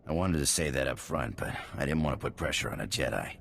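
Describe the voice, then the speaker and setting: An actor playing a plain-spoken, weary colony engineer in a video game, with a folksy, wry manner. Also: male voice, extremely low pitch, expressive, quick pace, creaky, fairly bright tone, a little hiss or hum, heavily acted. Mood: friendly, wry.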